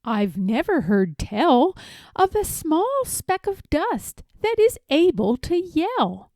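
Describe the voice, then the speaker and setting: Woman as a protective gentle elephant, storybook read-aloud, high-pitched, unhurried, sincere, emphatic. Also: big pitch swings, no echo, studio quality, full character voice. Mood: concerned. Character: kind, earnest, protective male elephant.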